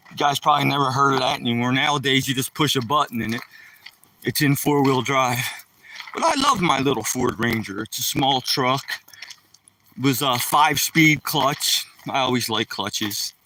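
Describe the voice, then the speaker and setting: A man's voice: mid-range voice, moderate pace, distant mic, noisy background. A middle-aged man from Philadelphia with a Philadelphia accent, chatting casually to viewers on a live stream while walking by a lake. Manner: rambling, talks to viewers directly. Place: outdoors by a rural lake. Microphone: phone held while walking.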